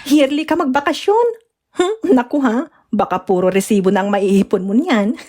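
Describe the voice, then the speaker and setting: A woman's voice: fairly high pitch, moderate pace, darkish tone, voice pushed up, close to an everyday voice. Woman as a kind, steadying big-sister friend. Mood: teasing. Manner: comforting, teasing. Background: music.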